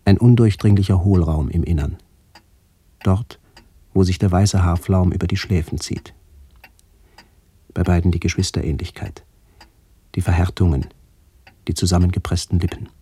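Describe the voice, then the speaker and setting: A man playing a somber first-person narrator in a literary radio play, speaking in a measured, descriptive way. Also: sits low, warm tone, slightly rough, no echo. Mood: somber, contemplative. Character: somber male first-person narrator remembering his aged aunts.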